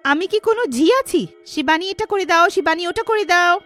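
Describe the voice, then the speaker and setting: A woman voicing a jealous young wife in a story, sharp and complaining. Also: very high voice, a little fast, faint music behind, voice pushed up. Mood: irritated. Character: jealous, snappish young wife resenting her mother-in-law.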